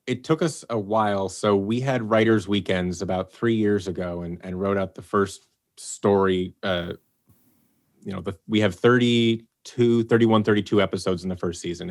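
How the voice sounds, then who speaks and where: A man chatting on a video call, telling animated stories and acting out quoted lines. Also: lowish voice, normal speed. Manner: animated storyteller, acts out quotes. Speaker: podcast guest, head writer. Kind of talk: casual chat on a video call.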